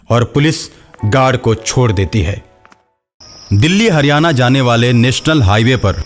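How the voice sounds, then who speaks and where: A man with a medium-pitched voice narrates a horror tale. It is scripted storytelling, with faint music behind the voice.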